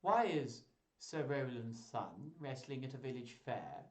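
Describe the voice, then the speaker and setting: A man's voice: medium pitch, big pitch swings, a little slow. Man putting on a whiny courtier, echoey. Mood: inquisitive, murmuring. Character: whiny, discreet male courtier. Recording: roomy echo, distant microphone.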